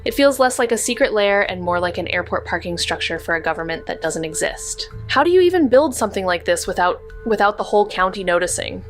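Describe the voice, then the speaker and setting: A woman playing a tense, observant investigator who narrates a noir mystery drama in a measured, descriptive way. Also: mid-range voice, animated, soft background music, close to an everyday voice. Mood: wary, tense.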